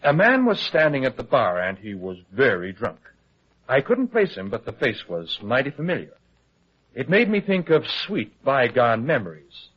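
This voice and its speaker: An actor playing a wisecracking, eager tabloid reporter in an old-time radio drama, glib, teasing and theatrical. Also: male voice, medium pitch, wildly swooping pitch, moderate pace, slightly rough. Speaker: newspaper reporter. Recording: dull lo-fi old radio recording.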